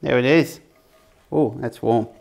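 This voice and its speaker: A man's voice: medium pitch, measured pace, creaky, a little mellow, a little hiss or hum. A man giving a casual, rambling cooking demonstration for his camping review channel, often restarting his sentences. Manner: chatty, rambling, self-correcting.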